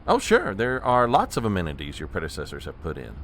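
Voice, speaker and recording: An actor playing a fussy, anxious, long-suffering presidential aide in a comedic audio drama. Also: male voice, deep voice, huge pitch swings, quick pace, fairly bright tone, slight background noise, heavily acted. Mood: patient explaining. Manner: fussy, deferential, hesitant.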